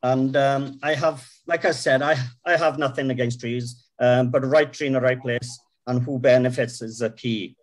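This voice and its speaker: A plain-spoken middle-aged man with a Welsh accent talks earnestly from his notes, his voice sitting at an ordinary pitch. He speaks from a room at home, picked up by a webcam on a video call, pausing on ums as he goes. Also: fairly even intonation. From Wales. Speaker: farmer giving a talk. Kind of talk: prepared talk read from notes.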